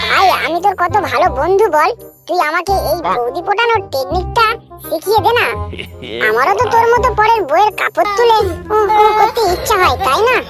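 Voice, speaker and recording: A man voicing a crude young male sidekick in a comic cartoon, wheedling and excitable, with a pitched-up voice. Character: whiny, pleading, foul-mouthed young male sidekick friend. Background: loud jingly children's music.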